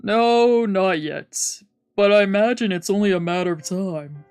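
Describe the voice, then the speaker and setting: A woman voicing a stern male gang boss, formal and measured, in a visual novel read-aloud. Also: mid-range voice, darkish tone, clear, resonant tone, dry recording, clean studio sound, natural voice. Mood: grave, wary. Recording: music behind the voice.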